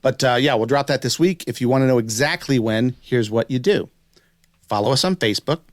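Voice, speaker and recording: A man's voice: medium pitch, brisk, dry recording, studio quality. A man hosting a podcast, chatting casually in an enthusiastic, rambling way. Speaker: podcast host.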